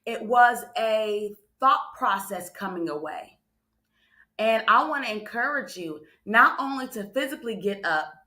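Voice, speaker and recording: A bright, forceful female voice delivers motivational preaching in an echoey room. She teaches point by point and leans hard on repeated phrases. Now and then she turns to her listeners with a conversational aside. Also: mid-range voice. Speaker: motivational speaker and preacher on a morning show. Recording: roomy, echoing livestream sound.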